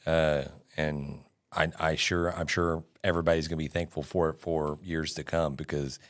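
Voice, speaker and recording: A man with a Texan accent co-hosting a barbecue podcast, speaking in halting, playful casual chat. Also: a bass voice, level tone. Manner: halting, restarts often, playful. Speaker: podcast co-host.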